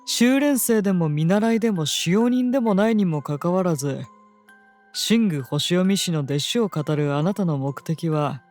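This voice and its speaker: An actor playing a courteous, unnervingly calm guardian of a princess in a fantasy audio drama, speaking formally and precisely. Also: male voice, sits high, normal speed, faint music behind. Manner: formal, gentle, precise polite speech.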